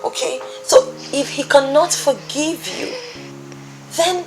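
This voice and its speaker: A woman's voice: sits high, slow, faint music behind. An actress playing a family member who earnestly comforts a beaten woman. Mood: comforting. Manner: soothing, earnest.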